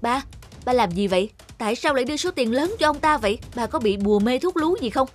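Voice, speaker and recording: An actor playing an angry, accusing middle-aged son in a cartoon, scolding forcefully. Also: male voice, very high-pitched, expressive, fast. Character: indignant, accusing middle-aged son, heavyset and hot-tempered.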